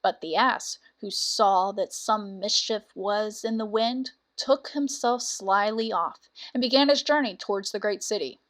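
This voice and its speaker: A woman's voice: medium pitch, measured pace. A woman reading a fairy tale as a storyteller, in scripted narration.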